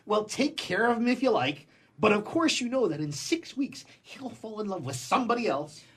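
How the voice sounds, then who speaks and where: Man acting a cynical jilted gentleman, swooping and husky. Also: high voice, very animated, normal speed, full character voice. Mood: mocking, sarcastic. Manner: sarcastic, declamatory, emphatic. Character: jilted, cynical, sneering man of the world, scandalized and biting. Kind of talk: theatrical stage comedy acting.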